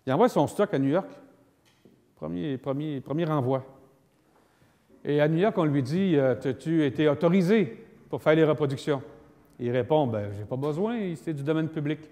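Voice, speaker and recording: A man's voice: a little high, moderate pace, long pauses, dark tone. Quebec man lecturing in an echoing hall. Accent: Quebec French. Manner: didactic, acts out dialogue, emphatic repetition. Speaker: lawyer giving a lecture. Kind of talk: public lecture on law with spoken examples. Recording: long echoing hall reverb.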